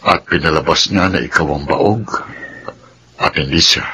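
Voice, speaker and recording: An actor playing a grave, guilty doctor confessing in a radio drama. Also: male voice, extremely low pitch, measured pace, sharp tone, a little hiss or hum, heavily acted, low-quality recording. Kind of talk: Filipino radio drama acting.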